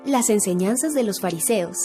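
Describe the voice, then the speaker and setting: A woman's voice: mid-range voice, expressive, moderate pace, mellow. A woman reading section titles in a scripted announcing style. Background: clear music.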